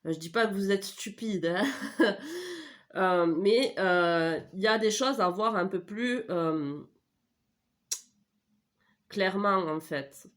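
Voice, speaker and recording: A woman's voice: medium pitch, slow, long pauses. A middle-aged woman with a stuffy head cold, giving a casual tarot reading at home into a desk mic, rambling and full of hesitations and self-corrections. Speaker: tarot reader. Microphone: desk microphone.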